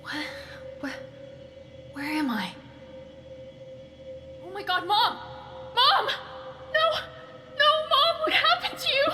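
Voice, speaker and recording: Shrill, terrified young victim. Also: female voice, huge pitch swings, slow pace, long pauses, full character voice. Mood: tearful pleading. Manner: breathless, shrill, pleading. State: distraught, tearful. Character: terrified, pleading young female nightmare victim. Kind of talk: psychological horror audio drama acting. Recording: eerie horror music behind.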